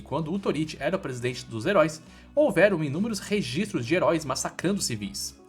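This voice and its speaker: A man's voice: fairly high pitch, a bit bright. A man with a Brazilian accent reads a scripted story recap in an informal, slangy storytelling way. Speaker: recap narrator. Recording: voice-over with background music. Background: music.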